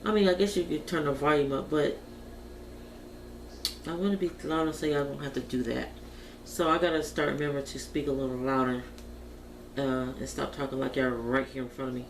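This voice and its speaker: A woman's voice: rumbling low, fairly even intonation, brisk. A woman chatting casually and thinking aloud in a folksy, informal way as she hosts a colouring vlog. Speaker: host of a colouring tutorial vlog. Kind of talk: casual chat while colouring. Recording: roomy, noisy, distant home camera mic.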